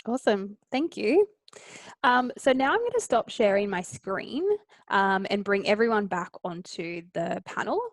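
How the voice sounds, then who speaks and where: A woman's voice: medium pitch, expressive, a little slow, dry recording, clean studio sound. A woman hosting a webinar panel over a video call, upbeat and using a lot of fillers as she hands over to each speaker. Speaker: webinar host. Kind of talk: moderating a panel.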